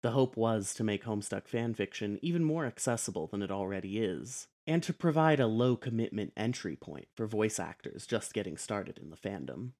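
A man's voice: fairly low pitch, lively intonation, moderate pace, few pauses, darkish tone. A man speaking as podcast host and editor, delivering an announcement to listeners.

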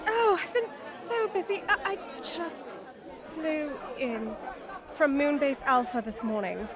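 A woman playing a glamorous literary darling in an improvised comedy drama, effusive, cooing and flattering. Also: sits high, slow. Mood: gushing. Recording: loud crowd and music behind.

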